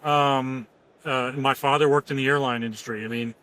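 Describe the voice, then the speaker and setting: A man's voice: mid-range voice, steady tone, normal speed, a bit bright. Easygoing male guest, matter-of-fact. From Pennsylvania, near Philadelphia. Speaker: guest, company founder and former Marine. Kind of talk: casual interview.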